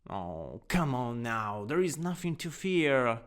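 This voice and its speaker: A man acts out a gently dominant male teacher with an Italian accent in an ASMR roleplay. He speaks slowly and dotingly, with a melodic swing in pitch, coaxing as he instructs. The voice rings in a long hall-like reverb. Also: very animated. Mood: coaxing, tender.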